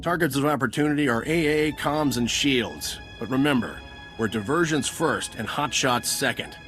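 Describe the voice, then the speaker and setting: An actor playing a brisk male starfighter squadron leader in a video game. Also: mid-range voice, animated, fairly bright tone, lightly acted. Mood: brisk, commanding. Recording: dramatic music underneath.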